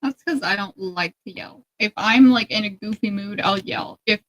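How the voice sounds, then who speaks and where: A soft-spoken woman co-hosting a paranormal talk show in casual conversation, with a voice she calls tiny. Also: mid-range voice, normal speed, no echo, low-quality recording. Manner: soft-spoken, describes her own voice as tiny. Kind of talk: casual livestream chat.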